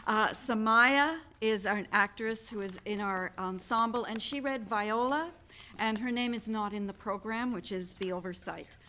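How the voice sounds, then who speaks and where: A woman's voice: mid-range voice, a little slow, pushed and bright, slight background noise. A woman hosting a play reading and reading out narration to a live audience. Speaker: event host and stage directions reader. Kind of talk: live event hosting and narrated stage directions.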